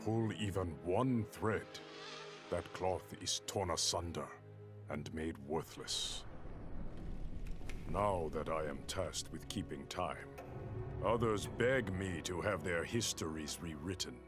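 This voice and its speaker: An actor playing a stern, noble warrior emperor in a video game, speaking in a measured, formal, solemn manner. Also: male voice, low voice. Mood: solemn, resolute. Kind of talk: dramatic video game monologue. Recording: music behind the voice.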